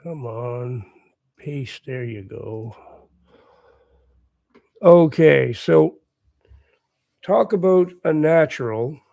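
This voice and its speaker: A man with a hoarse voice from a recent bout of laryngitis, hosting a casual livestream and chatting quietly and encouragingly with his viewers. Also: mid-range voice, animated, drawn-out words, pauses often, no echo. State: hoarse, recovering lost voice. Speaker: livestream host. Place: at home with morning coffee.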